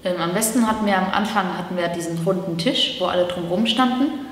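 An eighteen-year-old woman from Germany chats through her answers in long, filler-laden sentences. Her voice is slightly low for a woman. She sounds a little distant from the mic, in a bare room with strong echo. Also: fast, slight background noise. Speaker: camp participant. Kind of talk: casual interview answers. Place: indoor room against a bare wall. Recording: distant, echoey room with reverb.

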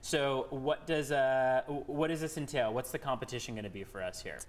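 A man's voice: medium pitch, fairly quick. A man in his thirties hosting a live morning TV segment in a studio, chatty and joking with guests. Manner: upbeat, chatty, jokey. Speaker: morning show host. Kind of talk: live TV interview.

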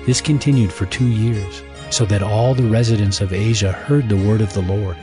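A male narrator reads a dramatized Bible in a low-pitched voice. His storytelling is formal and measured, with music playing clearly underneath.

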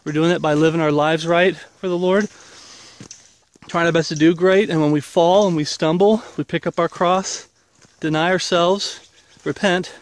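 A man in his forties with a slightly high voice talks into a handheld camera held at arm's length as he walks an outdoor trail. He gives a loose, rambling update in an earnest preaching tone while getting over an illness. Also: fairly even intonation, normal speed. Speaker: ministry leader. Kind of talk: walk-and-talk video update. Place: outdoors on a wooded path.

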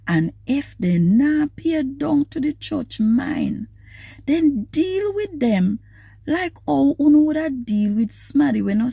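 Mellow, husky middle-aged Jamaican woman. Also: a little high, no echo. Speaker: scripture reader. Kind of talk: devotional read-aloud in a casual, personal setting. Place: inside a parked car.

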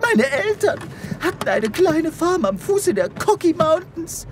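A woman's voice: very high-pitched, big pitch swings, a little slow, noisy background, exaggerated voice. A woman playing a passionate, sentimental chicken creature with rebellious ideals in a comic sci-fi radio play. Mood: wistful. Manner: melodramatic, impassioned.

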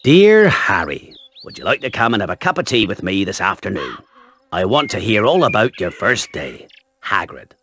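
A man playing a big, friendly, rough-hewn British gamekeeper in a video game, hearty and homely. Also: lowish voice, very animated, normal speed, a bit bright, exaggerated voice. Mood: warm. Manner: hearty, homely, warning fondly. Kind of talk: video game cutscene acting.

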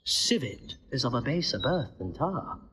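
Witty jester, mocking, husky, very animated delivery, Shakespearean comedy. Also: male voice, mid-range voice, unhurried, noisy background. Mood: scornful, teasing. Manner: theatrical, mocking, wordy. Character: witty, mocking male court jester, quick and scornful. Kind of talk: Shakespearean stage comedy acting.

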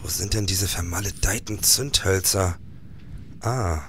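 A man's voice: deep voice, measured pace, fairly bright tone, slight background noise. An actor playing a grieving, sleepless police detective in a radio drama, formal and literary in his telling. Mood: despairing. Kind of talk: radio drama acting and narration.

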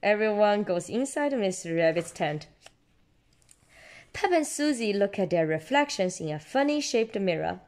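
Woman, parent storyteller, expressive and encouraging, slow delivery for young listeners. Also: medium pitch. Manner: expressive, encouraging, simple child-directed speech. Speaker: parent reading aloud to a child. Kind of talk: read-aloud children's storybook narration. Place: indoors at home, seated with book.

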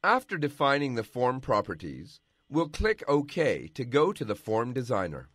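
A man giving scripted, measured narration for a software tutorial. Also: mid-range voice, big pitch swings, husky, no room sound, studio quality. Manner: measured, instructional.

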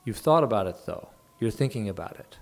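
A fierce, bitter older woman, sharp and mocking as she warns and threatens, read aloud by a man. The voice sits low with a gravelly grain. It is a plain reading rather than a full performance. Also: animated, brisk. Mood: sharp, scornful.

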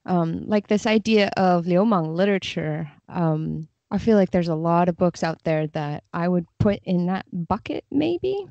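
A woman's voice: fairly low pitch, animated, a little slow, creaky, few pauses, warm tone, dry recording. A woman chatting casually as a guest in an interview, on slightly dull audio. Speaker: podcast guest, freelance translator.

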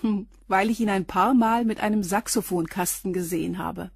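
A radio drama concierge of about forty. Her medium-pitched voice is husky, and she stays reserved and matter-of-fact. The sound is dry and close. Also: normal speed, no room sound. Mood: guarded. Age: middle-aged. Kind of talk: German radio crime drama acting.

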